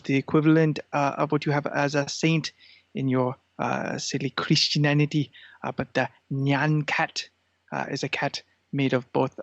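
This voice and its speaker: A man plays an imperious cat with a creaky, gravelly voice. He is pompous and grandiose, halting as he schemes, in an improvised comedy podcast with a dry, muffled sound. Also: mid-range voice, no room sound, close to an everyday voice. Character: imperious, scheming male cat plotting feline takeover.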